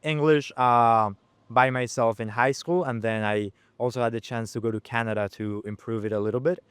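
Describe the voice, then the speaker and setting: A man's voice: mid-range voice, fairly even intonation, normal speed, smooth, dry recording. A man from Colombia whose first language is Spanish, a student speaking fondly about languages. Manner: warm, fond of languages. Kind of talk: casual interview answers.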